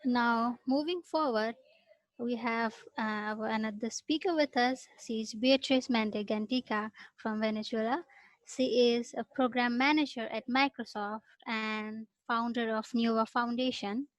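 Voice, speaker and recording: A woman's voice: on the high side, steady tone, measured pace, dry recording. A woman hosting a webinar over a video call, giving polite, formal introductions. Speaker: webinar host.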